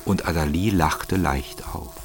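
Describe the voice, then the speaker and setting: A man's voice: deep voice, measured pace, loud music behind the voice. A man narrating a radio drama in a scripted, literary style.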